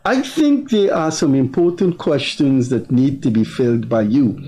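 An older man with a Guyanese accent, hosting a political talk show in a studio with measured, deliberate delivery. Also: mid-range voice, expressive, mellow. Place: TV studio with virtual set.

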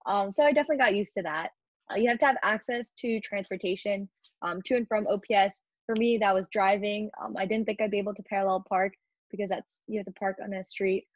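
A teenage young woman presents slides as a student in an informal way. Her voice sits at an average pitch for a woman. The sound is very dry and slightly dull, as from a basic computer microphone. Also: steady tone, fast talker, no echo. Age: teens.

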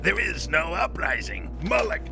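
An actor voicing an irritable, scornful, pampered alien boss villain in an animated game cutscene. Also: male voice, fairly high pitch, normal speed, gravelly, music in the background. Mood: irritated, scornful.

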